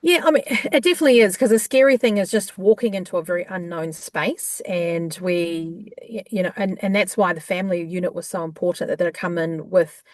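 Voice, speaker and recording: A woman with a New Zealand accent, a guest in an interview, talking in a warm, chatty way with frequent fillers. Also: on the low side, fairly quick, few pauses, dry recording. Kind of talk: conversational interview.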